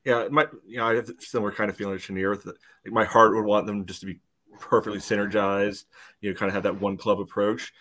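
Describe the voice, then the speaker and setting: An American man giving his view casually on a soccer podcast, measured and peppering it with small conversational hedges. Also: fairly low pitch, little pitch movement, a bit bright. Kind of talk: casual sports podcast discussion.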